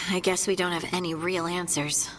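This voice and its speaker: A woman's voice: lowish voice, flat delivery, normal speed, fairly bright tone, soft background music, lightly acted, low-quality recording. A woman playing an earnest young dwarf scout in a fantasy video game, sincere and a little hesitant. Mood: wondering. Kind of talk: scripted video game dialogue.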